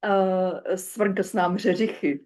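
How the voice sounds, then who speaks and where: A woman moderating an academic round table speaks English. She talks over a video call at a middle pitch and tells stories in an engaged way, dotted with fillers. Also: slow pace. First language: Czech. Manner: lively storytelling with fillers.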